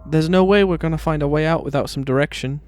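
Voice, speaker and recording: A man's voice: a little high, fast, a little mellow, soft background music, pitched above the performer's own voice, natural voice. A man voicing a wry, peacemaking teenage schoolboy wizard in an amateur fan-fiction audio drama. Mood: calming.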